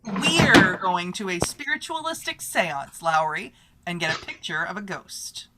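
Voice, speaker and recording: A woman's voice: fairly low pitch, lively intonation, a little slow, noisy background. A woman playing a brash, bossy press photographer in old-time radio style, with snappy, slangy wisecracks. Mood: breezy.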